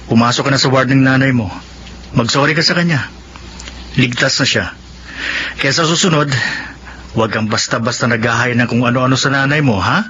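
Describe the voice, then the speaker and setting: An actor with a Filipino accent playing a stern, brusque doctor, lecturing sarcastically in radio drama style. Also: male voice, mid-range voice, a little fast, pauses often, close to an everyday voice. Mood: stern, relenting. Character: stern, scolding male doctor. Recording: dull radio audio, faint background noise.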